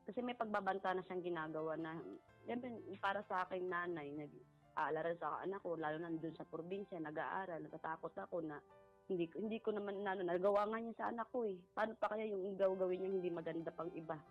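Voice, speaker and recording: A middle-aged woman, giving a personal account in a polite, halting way and often repeating herself. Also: lowish voice. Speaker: caller seeking advice. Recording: muffled lo-fi voice over background music.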